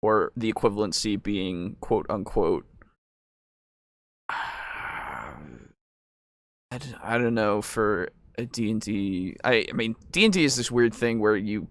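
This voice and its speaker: A man hosting a casual chat about tabletop gaming, talking in a halting, rambling, agreeable way. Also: fairly low pitch, fairly bright tone, no room sound, studio quality. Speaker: podcast host.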